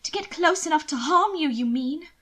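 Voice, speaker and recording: Woman voicing genteel young lady, high-pitched, anxious and sly, dramatic urgency, protective sister, audiobook dialogue. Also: lively intonation, moderate pace, fairly bright tone. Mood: urgent concern.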